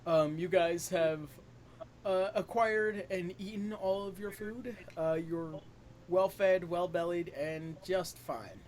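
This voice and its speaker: A man narrating a tabletop game as game master, relaxed with many fillers. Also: high-pitched, takes it slowly.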